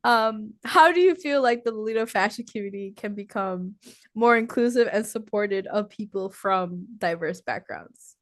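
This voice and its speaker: A woman's voice: medium pitch, normal speed. A woman hosting a casual interview, playful and prone to giggling. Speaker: podcast host.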